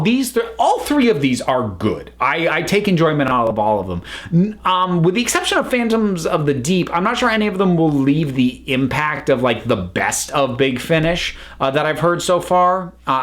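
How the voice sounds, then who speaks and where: A man hosting a review video, talking casually to viewers and leaning on repeated words for emphasis. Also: on the high side.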